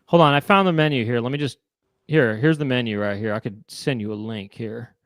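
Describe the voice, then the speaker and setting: A man's voice: medium pitch, a little fast, no echo, studio quality. A man co-hosting a casual chat show, joking and rambling with lots of restarts. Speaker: co-host.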